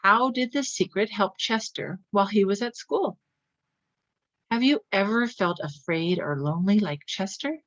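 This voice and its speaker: Woman doing kids story read-aloud, really swoopy pitch, slightly lo-fi audio. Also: animated, a little slow, fairly bright tone, no room sound. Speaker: story reader. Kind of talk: read-aloud children's picture book narration. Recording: slightly dull, lo-fi recording.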